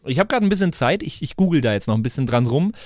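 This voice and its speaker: A man's voice: medium pitch, very animated, fast talker, a little mellow, no room sound. Slangy male podcast host, close and dry. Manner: rambling, slangy, joking. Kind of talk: casual chat.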